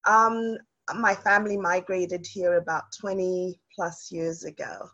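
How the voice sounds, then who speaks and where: A woman's voice: fairly low pitch, fairly even intonation, unhurried, pushed and bright, lo-fi sound. A woman from South Africa, a guest chatting in an interview with lots of fillers and restarts. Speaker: guest real estate investor. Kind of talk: casual interview.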